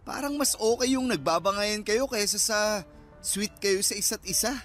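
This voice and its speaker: A man's voice: high voice, unhurried, a bit bright, soft background music. An actor playing a young Filipino man in a radio drama, a teasing best friend who banters in slang and acts mock-offended.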